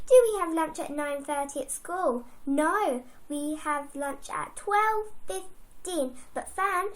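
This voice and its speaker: High-pitched exasperated schoolteacher read by a young girl, sarcastic, scolding, poem recitation. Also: fairly bright tone. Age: child. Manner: sarcastic, scolding, full of rhetorical questions. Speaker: poem reader.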